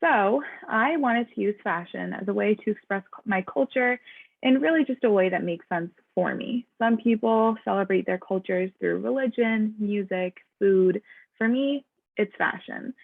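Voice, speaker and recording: A young woman talks through her fashion brand slide by slide, pausing often on "um". Her voice has a gravelly creak. The sound is dry and tinny, like a phone line. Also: fairly high pitch, dry recording. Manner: frequent "um" fillers, earnest and explanatory. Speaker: student fashion designer presenting her brand. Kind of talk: slide presentation talk.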